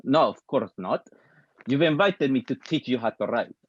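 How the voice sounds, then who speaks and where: An actor playing a stern, impatient old theater professor at a play table read, brusque and condescending. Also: male voice, medium pitch, animated, moderate pace, slightly rough, highs cut off. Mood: lecturing.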